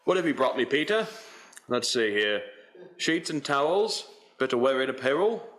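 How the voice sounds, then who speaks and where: A man's voice: medium pitch, expressive, moderate pace, fairly bright tone, close to an everyday voice, low-quality recording. A man playing a shrewd, haggling Victorian pawnbroker in a radio play, wheedling and theatrical. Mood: sly haggling. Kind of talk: stage radio play acting.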